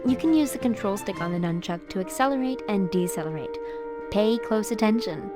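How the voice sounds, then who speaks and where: Actress as eerie doting mother, video game, honeyed, gushing, animated intonation, music behind. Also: medium pitch, fairly quick, natural voice. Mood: sweetly doting. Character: sugary, falsely doting otherworldly mother. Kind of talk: video game character acting.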